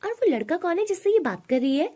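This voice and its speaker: Suspicious young stepsister, done by a woman: insinuating, high-pitched, soft-edged tone. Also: big pitch swings, quick pace.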